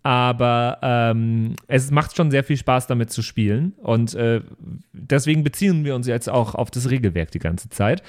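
A man's voice: medium pitch, moderate pace, hardly any pauses, a little mellow. A man talking casually as a player in a tabletop role-playing game.

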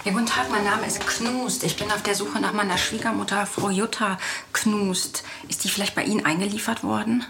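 An actress playing a polite daughter-in-law making enquiries by phone in a TV re-enactment. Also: female voice, mid-range voice, fairly even intonation, brisk, creaky, lightly acted. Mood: polite, concerned. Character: polite daughter-in-law phoning hospitals in search. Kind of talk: TV re-enactment acting.

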